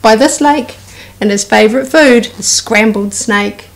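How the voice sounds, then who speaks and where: A woman's voice: on the high side, a little slow. A woman voicing a small, polite but boastful mouse in a children's storybook. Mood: matter-of-fact boasting. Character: small, cheeky, confident brown mouse, polite trickster.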